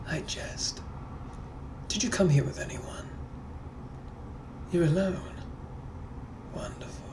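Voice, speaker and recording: A man playing a menacing, possessive gentleman from the 1800s, speaking in a hushed, breathy, put-on voice. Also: fairly high pitch, background noise. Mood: flirtatious. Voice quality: hushed breathy whisper, drawn-out purring delivery. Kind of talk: POV comedy skit.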